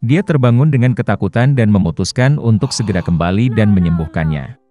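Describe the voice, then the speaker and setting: A man with a low, mellow voice reads a bedtime fairy tale for children. His delivery is lilting, and his phrases often lift at the start. Faint music plays beneath him. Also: lively intonation, fairly quick. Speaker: storyteller. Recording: soft music underneath.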